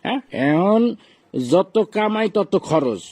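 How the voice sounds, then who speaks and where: A man playing an exasperated, preachy villager in a comedy argument, husky and insistent. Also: high voice, lively intonation, slow pace, a little mellow, no room sound, exaggerated voice.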